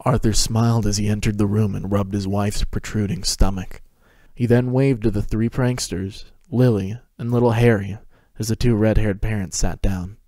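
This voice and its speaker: A man's voice: on the low side, steady tone, moderate pace, dark tone, no room sound. A man hosting and narrating a dramatic reading of a fan fiction story.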